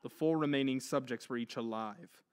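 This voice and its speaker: A man's voice: mid-range voice, moderate pace, heavy background noise. A man narrating a horror story in an intense, theatrical manner.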